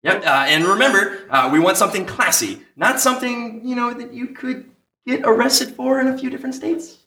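A man hosts with casual sketch banter. His voice is bright and forceful, in an echoey live room. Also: very high voice, brisk.

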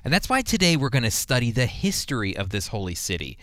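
A man's voice: mid-range voice, very animated, fast talker, a bit bright, dry recording, clean studio sound. A man hosting a radio Bible teaching program, speaking conversationally and repeating phrases for emphasis.

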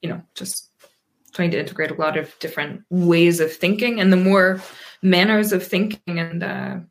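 A woman in her thirties from Pennsylvania, talking as a guest in a relaxed interview. Her voice sits fairly low, and she thinks aloud as she goes, full of ums, restarts and trailing asides. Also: moderate pace. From Pennsylvania, USA. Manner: thoughtful, rambling, frequent ums and restarts. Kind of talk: casual interview conversation.

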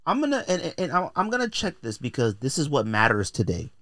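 A man's voice: a little high, wildly swooping pitch, quick pace, a bit bright, dry recording, studio quality. A man hosting a podcast in a casual, opinionated rant, emphatic and exasperated, repeating phrases to drive points home. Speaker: podcast host.

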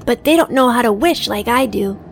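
A woman's voice: fairly high pitch, expressive, fairly quick, vocal fry, a little hiss or hum. A woman playing an earnest, innocent seven-year-old boy in an audio drama. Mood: confident, sincere. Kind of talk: audio drama acting and first-person narration.

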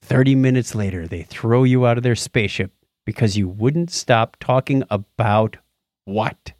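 A man's voice: mid-range voice, normal speed, darkish tone, no echo, studio quality. A man hosting a podcast in a casual style, with a wry, wisecracking manner. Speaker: podcast host.

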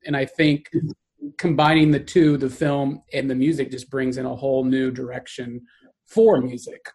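A man hosting an interview in a relaxed, conversational way, often using "like" and "you know". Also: medium pitch, moderate pace, low-quality recording. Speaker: host. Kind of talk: casual interview chat.

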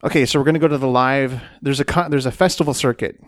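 Brief: male co-host, mid-pitched, talkative game walkthrough, dry close sound. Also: steady tone, fast talker, no echo. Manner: chatty, explains things, laughs often. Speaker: podcast co-host. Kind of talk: explaining a video game during play.

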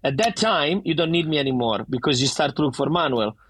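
A man's voice: fairly high pitch, lively intonation, fairly quick, a bit bright, slight background noise. A middle-aged man from Italy with a thick Italian accent, a bar owner talking animatedly and emphatically in a casual interview, often restarting his sentences.

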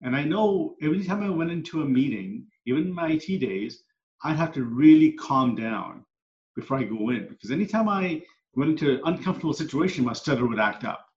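A man's voice: on the high side, expressive, a little fast, a little mellow. Middle-aged man, echoey and earnest. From Canada, moved there at ten. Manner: earnest, reflective storyteller. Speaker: guest, coach and speaker. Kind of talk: storytelling in a podcast interview. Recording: echoey room, dull lo-fi sound.

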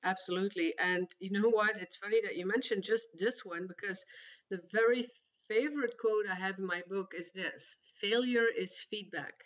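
A woman's voice: mid-range voice, moderate pace, highs cut off. A woman from Belgium, chatting as a guest on an interview podcast and telling her own story in a reflective way. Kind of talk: casual interview chat.

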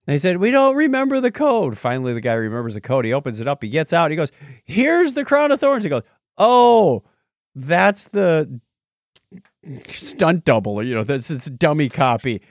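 A man co-hosting a podcast in casual conversation, animated, often stammering and restarting his phrases. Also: fairly high pitch, normal speed, dry recording, studio quality. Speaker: podcast co-host.

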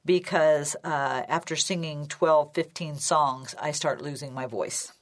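Slow-talking chatty woman host. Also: low-pitched. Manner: chatty, laughs easily. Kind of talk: casual chat.

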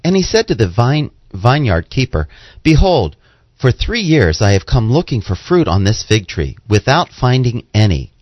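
A man co-hosting a religious radio show, reading scripted text in a deliberate, expressive way. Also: mid-range voice, dry recording. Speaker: radio co-host and scripture reader. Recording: dull lo-fi radio audio.

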